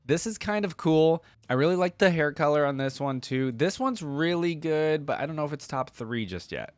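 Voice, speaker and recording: A man's voice: on the high side, lively intonation, moderate pace, no room sound, faint music behind. A man giving casual reaction commentary as a video host, thinking aloud in informal, slangy language.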